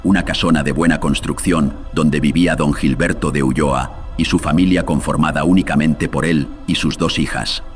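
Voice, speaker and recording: A man's voice: fairly even intonation, normal speed, faint music behind. A man narrating scripted horror tales as host, solemn, ominous and theatrical, with a processed voice. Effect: deepening voice filter.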